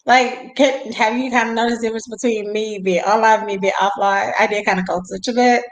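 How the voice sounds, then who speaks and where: Young woman host, raspy and high, chatty livestream talk, echoey home room. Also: steady tone, fast. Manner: chatty, reads viewer comments aloud. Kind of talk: casual livestream chat. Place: home room on a video call.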